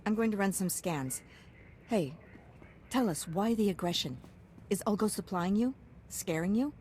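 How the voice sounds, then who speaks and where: A woman's voice: medium pitch, lively intonation, moderate pace, darkish tone, a little hiss or hum, close to an everyday voice. A woman playing a pragmatic, hard-nosed military science commander in a video game, clipped and businesslike. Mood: urgent, clinical. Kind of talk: video game character acting.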